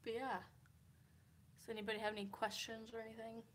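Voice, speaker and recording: Bright-voiced young woman rambling casually. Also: on the high side, monotone, a little fast, slight background noise. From Kansas, USA. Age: 20s. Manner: chatty, rambling, many "like" fillers, laughs often. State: tired. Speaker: livestreamer. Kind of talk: casual livestream chat reading comments. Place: hotel room.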